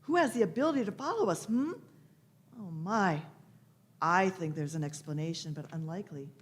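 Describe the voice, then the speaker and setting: A woman's voice: on the low side, lively intonation, normal speed, lightly acted. Musing, imperious elderly time traveler played by a woman. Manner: musing, repeats phrases, old-fashioned endearments. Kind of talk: radio play performed by amateur players.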